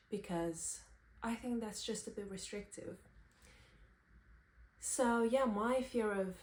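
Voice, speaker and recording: A woman in her twenties from Romania, reflecting candidly and thoughtfully without a script, in halting phrases. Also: lowish voice, a little mellow, slight background noise. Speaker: vlogger, singer-songwriter. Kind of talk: unscripted personal reflection. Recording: roomy, echoey sound.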